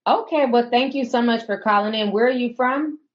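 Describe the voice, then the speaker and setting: A woman's voice: fairly high pitch, brisk, dull audio. A woman hosting a call-in show, greeting callers and prompting them with short replies. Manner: brief affirming responses. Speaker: talk show host.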